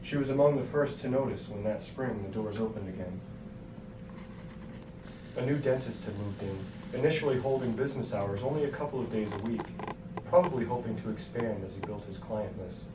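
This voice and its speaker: A man's voice: on the low side, moderate pace, long pauses. A man reading a scripted story aloud as its narrator. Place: hotel room. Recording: echoey hotel room, distant mic, noisy.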